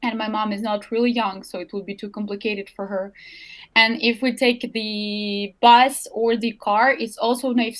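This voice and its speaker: A young adult woman from Ukraine with a Ukrainian accent, speaking earnestly in an interview and often searching for words. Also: on the high side. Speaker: interview guest. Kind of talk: remote interview.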